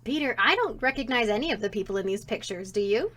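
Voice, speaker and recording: A woman's voice: mid-range voice, animated, quick pace, a bit bright. A woman playing a teasing, playfully sardonic wife and mother in an audio drama comedy. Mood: wry. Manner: wry, theatrical, teasing.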